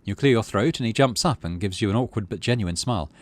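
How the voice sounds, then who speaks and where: A man's voice: on the low side, expressive, quick pace. A middle-aged man giving casual game commentary, muttering drily to himself and reading bits of narration aloud. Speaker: gaming channel host.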